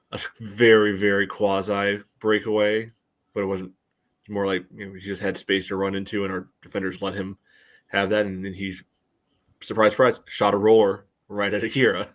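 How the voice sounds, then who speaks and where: Low-voiced man analysing a match casually. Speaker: soccer podcast co-host.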